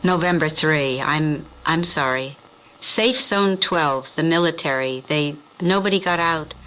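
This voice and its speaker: A man playing a steady, weary survivor on the radio in an audio drama, with measured, deliberate delivery. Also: fairly high pitch, clear, resonant tone, low-quality recording. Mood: sorrowful.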